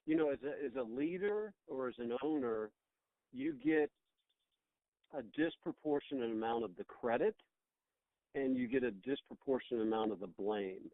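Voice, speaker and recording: A man's voice: medium pitch, moderate pace, no echo. A middle-aged American man being interviewed as a business owner, talking conversationally and reflectively over the phone, often rephrasing as he goes. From United States. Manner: reflective, rambling, often repeats words to rephrase. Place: on a phone call. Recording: tinny, band-limited phone line.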